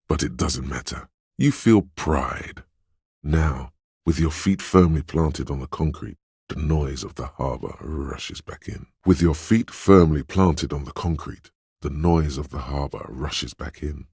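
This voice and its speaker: A slick, suave inner voice, narrating a video game straight to the player. It is extremely low and heavily husky, recorded very dry and close. The delivery is measured, theatrical and wry, with a cunning edge. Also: male voice, a little mellow, dry recording, exaggerated voice. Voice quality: very deep, husky. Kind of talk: second-person game narration.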